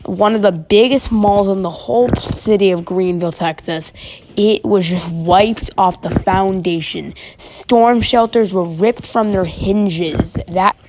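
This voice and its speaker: A woman's voice: mid-range voice, measured pace, a little mellow, natural voice. A woman acting as an alarmed TV news reporter covering a tornado in an amateur breaking news drama.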